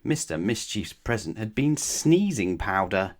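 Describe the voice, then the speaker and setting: Low-pitched man reading a bedtime story. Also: very animated, measured pace. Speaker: storyteller reading a children's book aloud. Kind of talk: children's bedtime story narration.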